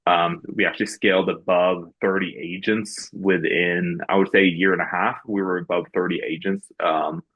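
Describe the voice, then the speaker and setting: Deep-voiced raised man, muffled audio. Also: level tone, moderate pace, few pauses, slightly rough. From Norway. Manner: many fillers and restarts, earnest storytelling. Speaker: guest. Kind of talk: podcast interview.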